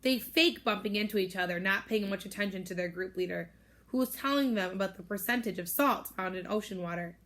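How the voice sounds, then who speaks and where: A woman teacher reading a novel aloud to her students, with expressive narration. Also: fairly quick. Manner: expressive, raises pitch for girls' voices. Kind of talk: storybook read-aloud with character voices.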